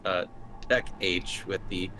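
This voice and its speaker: Casting: male tabletop player, slightly low voice, casual, tentative, light noise on the recording. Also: steady tone, slow. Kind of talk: casual game chat.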